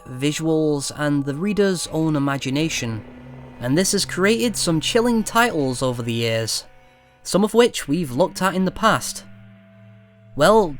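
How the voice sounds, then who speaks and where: A man with a slightly high voice delivers a scripted game review. He speaks in a measured way and drops into short trailing asides. Faint music plays behind him. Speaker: video game reviewer, channel host.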